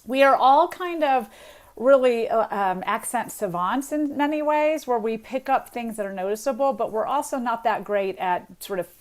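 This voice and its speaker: A middle-aged woman professor from Tennessee with a faint Southern accent, explaining warmly as a radio guest and laughing easily. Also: on the high side, expressive, normal speed. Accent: slight Southern US. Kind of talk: radio interview.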